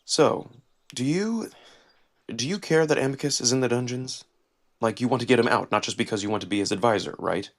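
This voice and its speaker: A man playing an anxious, earnest young male protagonist who speaks in a halting, casual way. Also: mid-range voice, lightly acted. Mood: nervous.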